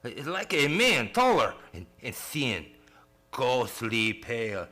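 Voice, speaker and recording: An actor playing a terrified ship's mate on the edge of madness, stammering and agitated in an old-time radio play. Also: male voice, medium pitch, very animated, slow, pushed and bright, heavily acted. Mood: fearful, obsessed.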